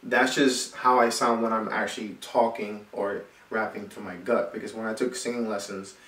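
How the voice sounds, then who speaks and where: A man's voice: lowish voice, flat delivery, normal speed, fairly bright tone. A young rapper talking casually in a small home studio, agreeable and earnest as he explains his choices. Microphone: far camera. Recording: echoey room from far off.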